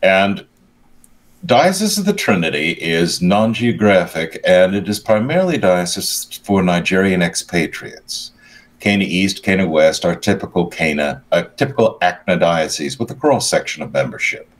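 An older man giving news commentary over a video call, halting and searching for words while he has a cold. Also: low voice, very animated. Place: home room, video call.

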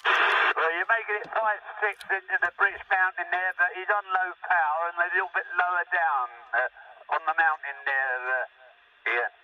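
A man's voice: high voice, moderate pace, far from the mic. A man chatting casually as an amateur operator over a CB radio transmission. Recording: noisy, muffled CB radio heard from off. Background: loud radio noise.

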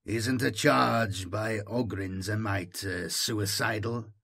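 Male narrator as nervous-to-object junior soldier, hesitant, halting, husky, audiobook. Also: on the low side, steady tone, fairly bright tone, exaggerated voice. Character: hesitant, doubtful male military subordinate.

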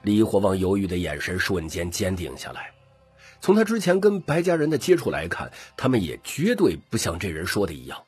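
A man reads dramatic fiction as an audiobook narrator. His voice sits somewhat low but moves with lively intonation. Faint music plays underneath. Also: brisk, husky, dry recording.

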